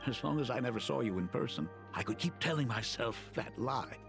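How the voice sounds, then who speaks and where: An actor playing a gentle, fatherly dean with a formal, tender manner in a dramatic game story. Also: mid-range voice, lively intonation, fairly quick, gravelly, music in the background. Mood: wistful, regretful. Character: gentle, wistful, fatherly older academy dean, regretful.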